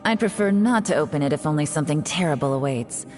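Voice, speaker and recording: A woman voicing a secretive female spy who speaks in a cool, dry, unhurried way. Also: low-pitched, expressive. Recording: game audio with music. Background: game music.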